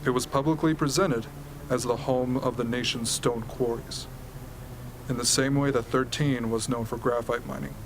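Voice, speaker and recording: A man's voice: mid-range voice, steady tone, moderate pace, natural voice. Explanatory military briefer, man acting, faint noise. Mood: serious, explanatory. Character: serious, informative male military briefer. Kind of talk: amateur radio drama acting, expository briefing. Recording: hobby recording with ambient noise.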